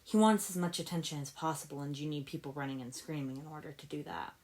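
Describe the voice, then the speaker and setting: An earnest young ninja hero, read by a woman in a low voice. He is shrewd and a little rueful as he explains himself in an adventure story. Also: quick pace, a little mellow, close to an everyday voice. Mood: explaining, certain.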